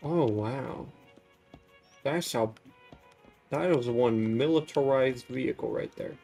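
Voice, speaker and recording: A man streams a video game and talks to his viewers in a casual, musing way. He takes his time, and his voice often drops into a creaky fry. Soft music plays faintly behind him. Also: medium pitch, slow pace. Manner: musing aloud, chatting to viewers.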